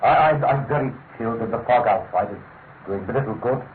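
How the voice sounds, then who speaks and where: Actor as grumbling English gentleman, hissy radio. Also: medium pitch, fast, lightly acted. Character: fussy, complaining English gentleman, detective's brother. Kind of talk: old-fashioned British radio drama acting. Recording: tinny, narrow-band old radio, clear hiss.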